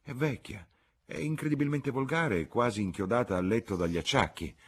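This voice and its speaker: A man voicing a fussy, plaintive, snobbish country gentleman in an audiobook, talking in a fretful, wordy, exclamatory way. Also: mid-range voice, a little fast, husky, pitched above the performer's own voice, lightly acted. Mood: apologetic. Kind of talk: audiobook character voice.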